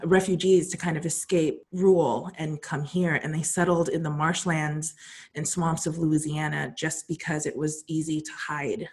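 A woman chatting casually on a podcast, telling a history story with plenty of fillers and restarts. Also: low voice.

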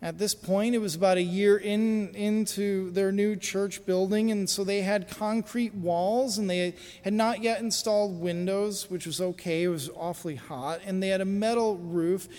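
A man who is a pastor, from the United States, telling a personal story in a sermon in an easy conversational way, with pauses and fillers. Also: sits high, steady tone, a little mellow, big-room sound.

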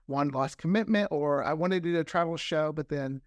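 A man's voice: on the high side, fairly quick, dry recording, clean studio sound. A man chatting casually as a podcast guest, enthusiastic and talkative. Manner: enthusiastic, chatty, often quoting imagined dialogue. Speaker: podcast creator, interview guest. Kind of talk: casual chat.

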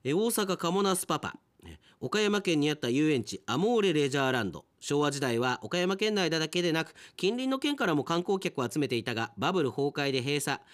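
A male radio announcer in relaxed after-show mode. His pitch moves around widely and expressively as he reads out mail and adds chatty remarks of his own. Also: a little fast. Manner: chatty, commenting on the stories he reads. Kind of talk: casual podcast after-talk, reading listener mail.

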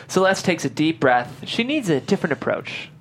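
A woman's voice: sits low, big pitch swings, moderate pace, a little hiss or hum. A woman reading stage directions as scripted narration at a table read.

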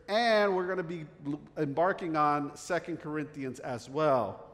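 Middle-aged North Jersey pastor, a bit higher voice, relaxed and chatty, long church-hall reverb. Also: male voice. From northern New Jersey. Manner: conversational with fillers and restarts. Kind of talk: sermon.

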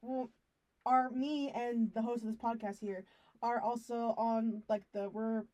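A young woman and college student chatting on a podcast, halting and unsure of her words. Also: fairly high pitch, little pitch movement, warm tone, muffled recording. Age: young adult. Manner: halting, unsure, self-deprecating. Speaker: student guest.

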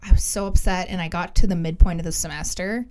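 A woman's voice: lowish voice, steady tone, fast talker, a little mellow, a little hiss or hum. A young woman, guest on her sister's podcast, talking in a chatty, rambling way with lots of fillers. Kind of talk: casual chat.

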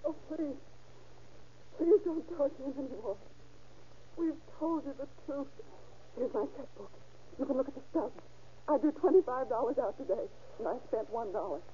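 An actress playing a terrified hostage wife in an old-time radio drama, pleading in a halting, breathless way. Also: female voice, high-pitched, animated, dark tone. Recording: noisy, muffled old radio recording.

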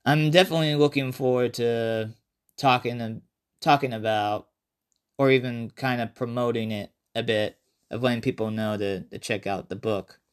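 A man hosting a horror podcast alone, talking casually and haltingly, often repeating and restarting his phrases. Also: medium pitch, steady tone, clear, resonant tone. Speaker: podcast host and amateur horror writer. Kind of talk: casual solo podcast talk.

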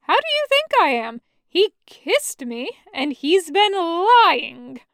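Woman voicing a brash, indignant man, high-pitched. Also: very animated, slow pace, fairly bright tone, dry recording, studio quality, full character voice. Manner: emphatic, slangy, indignant. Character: brash, hurt, sarcastic man in an audio story.

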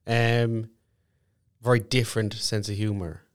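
A man of twenty-eight with an Irish accent and a slightly low voice. He co-hosts a podcast in loose, casual banter, dry and quick-witted, with plenty of swearing. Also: flat delivery, smooth. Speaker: podcast co-host. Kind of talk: casual comic chat.

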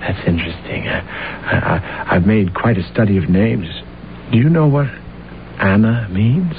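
A narrow, noisy vintage radio recording carries a bedraggled, self-deluded assassin. His voice sits fairly low, and he speaks with courtly, pompous formality, stumbling and hesitating as he goes. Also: lively intonation, normal speed. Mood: courtly, pretentious. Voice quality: hesitant, stammering. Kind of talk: old-time radio drama acting. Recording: muffled, noisy old radio broadcast recording.

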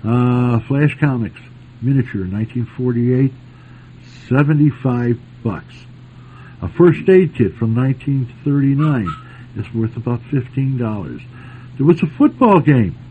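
Lively, teasing man on tinny radio. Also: mid-range voice, a little slow. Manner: teasing, joking. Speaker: radio show host. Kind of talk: casual bantering chat about old-time radio. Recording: noisy phone-like lo-fi radio sound.

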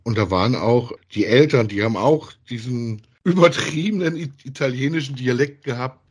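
A man with an average-pitched, slightly husky voice talks casually, as on a hobby podcast. He rambles in everyday language and often tacks little tag questions onto his phrases. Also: normal speed. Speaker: podcast host. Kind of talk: casual conversational review of audio dramas.

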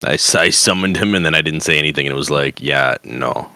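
A man co-hosting a podcast in casual chat, excitable and quick to laugh. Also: rumbling low, creaky, dry recording, clean studio sound. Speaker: podcast co-host.

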